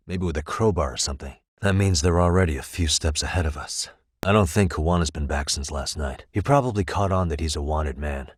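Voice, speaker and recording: An actor playing a composed, determined male detective in a video game, speaking in a measured, probing way with dry wit. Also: extremely low pitch, breathy edge, no room sound. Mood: composed, focused. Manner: measured, probing, dryly wry questioning. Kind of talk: English video game voice acting.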